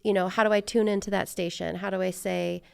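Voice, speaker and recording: A woman's voice: medium pitch, flat delivery, fast talker, creaky, darkish tone, smooth. A middle-aged American woman hosting a podcast, talking casually and reflectively and thinking aloud in an informal way. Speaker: podcast host; therapist.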